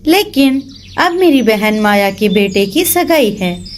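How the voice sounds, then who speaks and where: A woman's voice: mid-range voice, lively intonation, takes it slowly, a little hiss or hum, voice pushed down. A woman voicing a clever, caring mother bird in a children's cartoon, plucky and motherly. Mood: warm, hurried.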